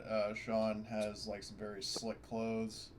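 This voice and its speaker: A man's voice: fairly low pitch, completely flat delivery, slow pace. A man playing a tabletop roleplaying game, chatting casually and half in character. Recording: noisy voice-chat audio.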